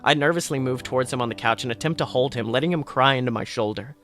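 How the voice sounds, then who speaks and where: A man narrating a first-person story in a dramatic, expressive way. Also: medium pitch, quick pace, soft background music. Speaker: podcast host reading fan fiction.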